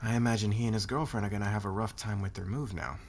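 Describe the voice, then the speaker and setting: Man playing a low-voiced, smug, commanding boss. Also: fairly even intonation, slight background noise, natural voice. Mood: smug, accusing. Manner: commanding, sardonic, probing, deliberate. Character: demanding, smug corporate boss, a cool calculating lord. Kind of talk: scripted ASMR roleplay acting.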